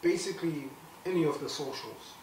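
A man's voice: a little high, a little fast, a little hiss or hum. A young man from Zimbabwe, a clothing brand founder, chatting in a sit-down interview with plenty of fillers and restarts. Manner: animated, many fillers and restarts. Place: indoor room, seated on a sofa. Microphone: far camera mic. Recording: echoey room, voice from a distance.

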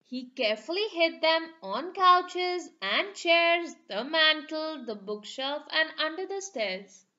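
A woman's voice: high-pitched, animated, takes it slowly, sharp tone, muffled recording. A woman reading a rhyming picture book aloud for children in a sing-song storytelling manner.

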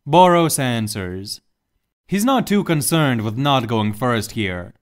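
A man's voice: medium pitch, sing-song, slow pace. A man reading scripted, dramatic story narration as the narrator.

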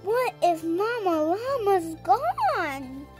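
A seven-year-old girl reading a children's story aloud in a deliberate, sing-song, emphatic way. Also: extremely high pitch, very animated, soft background music. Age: child, seven years old. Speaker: storyteller reading a picture book.

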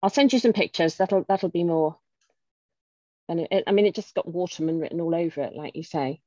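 A woman's voice: lowish voice, a little fast, dark tone, no room sound. A woman chatting casually as an audience member on a video call. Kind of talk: casual chat. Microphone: video call microphone. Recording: muffled lo-fi video call audio.

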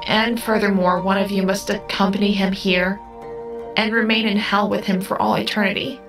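A calm, selfless female AI, voiced by a woman in an audio drama, precise and measured. Her pitch sits in the middle, over clear background music. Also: lightly acted. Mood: grave, formal.

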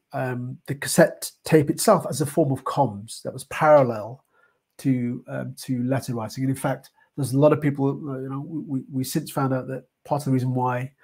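A middle-aged British man presents his work informally on a video call. His voice is gravelly and a little rough, and he speaks haltingly, working out his phrasing as he goes. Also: mid-range voice, dark tone. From United Kingdom. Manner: halting, thinking aloud with frequent hesitations and hedging. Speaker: artist and project leader presenting a heritage talk. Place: indoor room, online video call.

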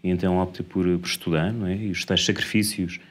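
Man, 30s, very deep voice, hesitant, lots of fillers, actor guest, lapel mic. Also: flat delivery, fast talker, breathy edge. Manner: hesitant, many fillers, thoughtful. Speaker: actor and drama teacher. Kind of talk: interview answers. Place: TV studio set.

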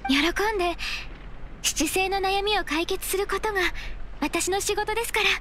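A woman voicing a gentle, dutiful young secretary in an anime game, with polite, measured, composed formality. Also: extremely high pitch, a little hiss or hum. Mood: polite, willing.

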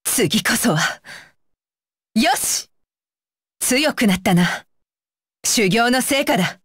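A woman's voice: fairly high pitch, expressive, takes it slowly, a bit bright, slightly rough, dry recording, clean studio sound, full character voice. A woman voicing a stern, dutiful young female ninja bodyguard for an anime game, with clipped, commanding and blunt phrasing. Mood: firm, composed. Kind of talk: Japanese anime game voice lines.

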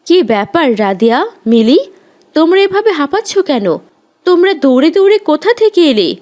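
Woman as an exasperated, very high-pitched mother. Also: very animated, moderate pace, a little mellow. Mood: questioning, exasperated. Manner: scolding, emphatic. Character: exasperated, protective middle-aged mother.

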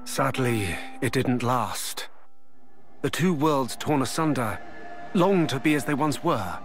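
A man playing a solemn warrior-sage in a video game cutscene, speaking formally and with measured gravity. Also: medium pitch, lively intonation, soft background music. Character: solemn, measured male sage-warrior explaining lost worlds.

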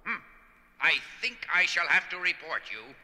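An actor playing a stiff, irritable, fearful bureaucrat with a pedantic manner in an audio drama. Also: male voice, sits high, very animated, moderate pace, pushed and bright, exaggerated voice. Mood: stiff, anxious. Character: officious, irritable, fearful bureaucratic factory man. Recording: long hall reverb.

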